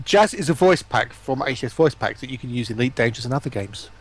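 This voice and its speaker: A man's voice: mid-range voice, animated, quick pace. A man appearing as a guest on a livestream, chatting and bantering casually. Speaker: stream guest.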